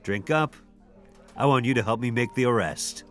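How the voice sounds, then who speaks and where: An actor playing an aging, stubborn police detective in a radio drama, terse and gruffly confident. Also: male voice, medium pitch, normal speed, soft background music, lightly acted. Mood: cocksure.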